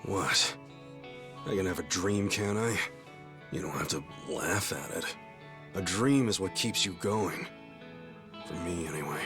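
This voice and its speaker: An actor playing an earnest, dreamy middle-aged ex-yakuza underdog hero in a dramatic video game, speaking casually and expressively. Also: male voice, low voice, a little slow, pauses often, over music. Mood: defensive, wounded.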